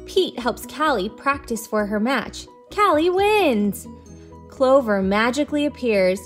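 Woman, 30s, children's read-aloud teacher: expressive, animated, unhurried, slightly high voice. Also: roomy sound, faint music behind. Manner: expressive, patient, questioning delivery for young children.

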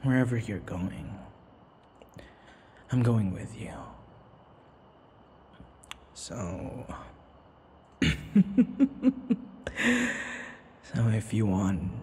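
A man playing an obsessive, lovesick young vampire in an intimate ASMR roleplay, breathy and whispery, halting and full of sighs. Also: on the low side, darkish tone, a little hiss or hum, full character voice. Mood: resigned, possessive. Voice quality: breathy, often whispery, creaky.

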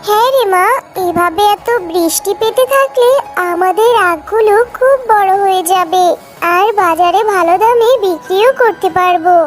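A woman's voice: very high voice, normal speed. A woman voicing a humble, caring fox mother with an earnest, plaintive manner in a cartoon story. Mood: pleased. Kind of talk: children's cartoon story dialogue. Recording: music behind the voice.

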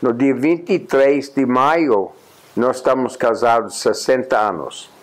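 An American man in his eighties, a native English speaker, giving an indoor interview and pausing often with "ah". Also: mid-range voice. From United States. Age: 80s. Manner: unhurried, pausing often with "ah" and restarts. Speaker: interviewee, journalist and honorary consul. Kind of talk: reflective interview talk. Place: indoors, plain room.

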